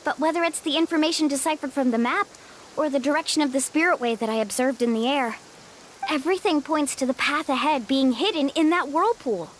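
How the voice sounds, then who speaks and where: A woman voicing a bright, determined young guide. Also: sits high, a little fast, a little hiss or hum, lightly acted. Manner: bright, confident, firm. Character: confident young female guide, upbeat and determined. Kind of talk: video game voice acting. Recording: game audio with faint music.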